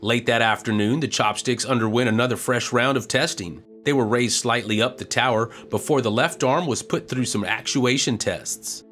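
A man reading a scripted news narration in an even, measured reporting tone as a voice-over. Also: mid-range voice, soft background music.

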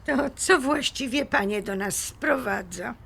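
A woman's voice: a little high, lively intonation, unhurried, creaky, a bit bright, husky, heavily acted. An actress playing a proud, composed woman defending her home in an audio drama, formal, firm and icily polite.

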